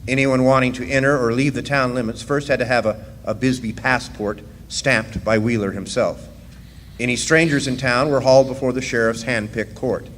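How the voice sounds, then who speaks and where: Man as wry old-West drifter, medium pitch, storytelling, radio drama narration, echoing hall. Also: fairly even intonation, moderate pace, a bit bright, natural voice. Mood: wry, reflective. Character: wry male rail-riding drifter looking back, 1910s. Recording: live hall reverb, faint background noise.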